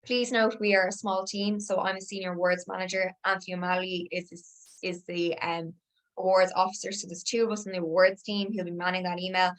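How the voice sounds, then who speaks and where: A woman, a senior awards manager, giving a brisk and informative webinar presentation. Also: on the low side, little pitch movement, rough recording.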